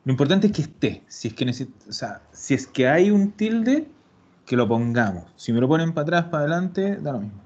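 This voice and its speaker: A man teaching Italian in an online class, patient and explaining slowly, repeating words. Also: on the high side, sing-song, a little mellow, slightly rough. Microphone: video call. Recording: dull lo-fi call audio.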